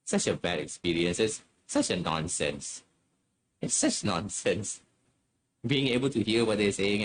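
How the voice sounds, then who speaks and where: A man's voice: lowish voice, slight background noise, poor audio. A man giving casual, opinionated commentary over a game playthrough, repeating words for emphasis. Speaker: gaming channel host. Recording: game music behind the commentary.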